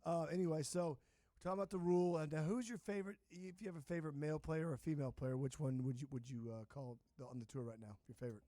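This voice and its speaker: A man's voice: a little high, fast talker, warm tone, no echo. A man hosting a casual interview show, informal and often chiming in with short interjections.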